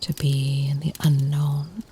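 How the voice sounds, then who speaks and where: A woman voicing an ancient, tender and protective female vampire in an intimate ASMR roleplay, speaking softly, half in whispers, with formal, deliberate phrasing. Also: sits low, flat delivery, slight background noise. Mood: earnest, careful.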